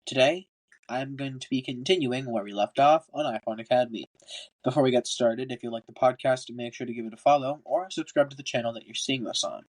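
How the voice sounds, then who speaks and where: A man's voice: medium pitch, brisk, poor audio. Congested man reading a story aloud. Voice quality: congested, stuffy nose. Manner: stumbles over words and rereads lines. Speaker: podcast host reading a book aloud. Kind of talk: amateur audiobook narration.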